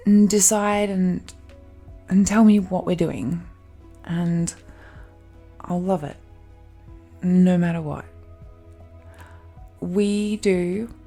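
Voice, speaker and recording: Woman voicing a loving possessive dragon girl fiancee, really slow n soft, mellow, intimate romance roleplay. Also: lowish voice.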